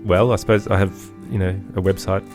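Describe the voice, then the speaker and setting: An Australian man speaking as an interview guest, thoughtful and halting as he searches for his words in reflective conversation. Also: low voice, flat delivery, over music. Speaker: guest, composer and children's podcaster.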